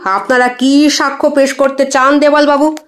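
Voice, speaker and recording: A woman's voice: high-pitched, little pitch movement, normal speed, lightly acted. A woman voicing a solemn male judge in an audio story, formal and authoritative. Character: solemn male judge presiding over a court.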